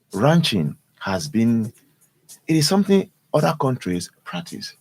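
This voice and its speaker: A man with a Nigerian accent giving unscripted opinion as a news analyst, halting and repeating his words. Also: lowish voice. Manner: halting, repeats words, often says "you know".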